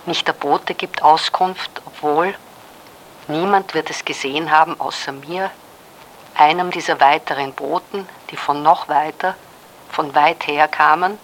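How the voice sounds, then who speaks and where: A woman's voice: deep voice, steady tone, measured pace, slight background noise, poor audio. A woman acting a wry messenger in a radio play, musing in a dry, pedantic, digressive way. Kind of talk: literary radio play monologue.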